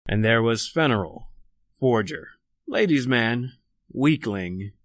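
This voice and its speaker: An actor playing a worldly, self-possessed convict doctor and murderer, narrating a radio horror drama in an eloquent, formal, theatrical manner. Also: male voice, medium pitch, lively intonation, slow pace, no room sound, studio quality. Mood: grave, ominous. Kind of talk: old-time radio horror drama acting, scripted narration.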